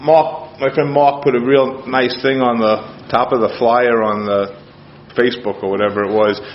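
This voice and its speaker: A man from Queens talks to a room about his life, plain-spoken and halting, stumbling over restarts and choking up now and then. His voice sits at an ordinary pitch. The recording sounds dull and lo-fi, with a faint hum of the room behind him. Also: steady tone. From Queens, New York. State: choked up with emotion. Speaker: speaker at a recovery meeting. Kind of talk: personal recovery talk to a group. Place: meeting room.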